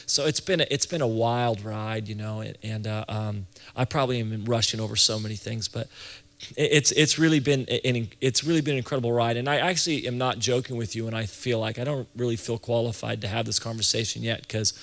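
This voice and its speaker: A man around forty giving an informal church leadership talk, conversational and halting, often restarting and repeating his phrases. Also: medium pitch, fairly bright tone. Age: late 30s to early 40s. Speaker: ministry leader and church planting teacher. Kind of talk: informal sermon-style teaching talk to an audience. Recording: reverberant hall sound.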